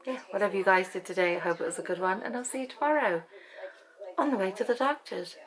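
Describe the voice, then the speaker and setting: A woman in her fifties from Britain with an English accent, chatting to a handheld camera in a dim room at night. Her voice is croaky, and she rambles and laughs often. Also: mid-range voice, quick pace, low-quality recording. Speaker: vlogger. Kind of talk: casual chat to camera. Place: bedroom at night, dim lamp light. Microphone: handheld camera at arm's length.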